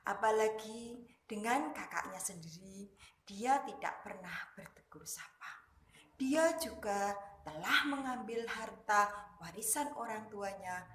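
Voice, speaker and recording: A woman telling a children's story like a kindergarten teacher, deliberately, in short emphatic phrases. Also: medium pitch, a bit bright, slight background noise. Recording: echoey room.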